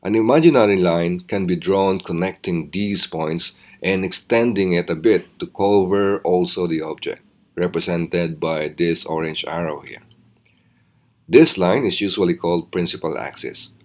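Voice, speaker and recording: A man teaching physics in a recorded lesson, explaining step by step in a measured, instructional way. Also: low voice.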